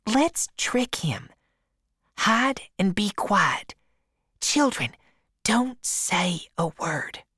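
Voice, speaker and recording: A woman's voice: mid-range voice, big pitch swings, extremely slow, long pauses, a bit bright, breathy edge, no room sound, studio quality, pitched above the performer's own voice, heavily acted. A woman voicing a fussy, emotional middle-aged aunt who gushes and chatters. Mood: scheming. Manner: gushing, chattering.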